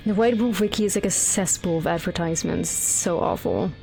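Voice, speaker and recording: Woman, lowish voice, rambling streamer, swears a lot, faint music behind. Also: a little fast, gravelly, a little mellow, rough recording. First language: Dutch. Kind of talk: casual gameplay chat.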